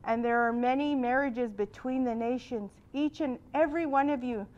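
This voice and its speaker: A woman leading a group workshop in a large room, projecting loudly without a microphone. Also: fairly high pitch, normal speed, muffled recording. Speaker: workshop facilitator. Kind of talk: guiding a group exercise.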